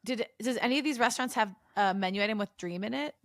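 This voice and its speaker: A woman's voice: a little high, fast, a bit bright, no room sound, studio quality. A woman co-hosting a podcast in casual chat with a playful, joking manner. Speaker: podcast co-host.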